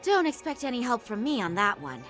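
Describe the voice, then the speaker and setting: A woman's voice: on the high side, sing-song, brisk, fairly bright tone, faint music behind. A woman voicing a bossy, sassy catgirl innkeeper in a video game, with a teasing, wry manner. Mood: wry, puzzled. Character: sassy, bossy female innkeeper catgirl, older than she looks. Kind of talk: animated video game cutscene acting.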